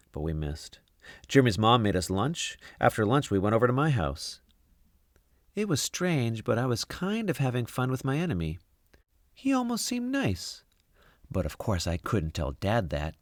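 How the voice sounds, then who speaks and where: A man reads a storybook aloud for children. His voice sits fairly low and he narrates expressively. The sound is dry, with little room in it. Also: no echo. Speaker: storybook reader.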